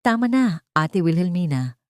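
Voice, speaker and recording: A woman's voice: lowish voice, big pitch swings, moderate pace, no room sound, clean studio sound. A woman voicing a frightened, respectful little rich boy pleading for his nanny in an audiobook drama. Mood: firm, accusing.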